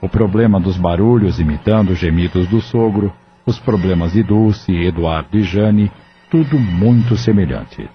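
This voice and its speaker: A man narrating an audio drama in a measured, even storytelling delivery. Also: low voice, slight background noise. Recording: dull lo-fi audio with soft music.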